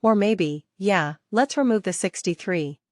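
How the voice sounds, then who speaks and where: A woman working as a software trainer, teaching interactively step by step, repeating key points and posing questions to learners. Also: mid-range voice, animated, measured pace, dry recording, clean studio sound.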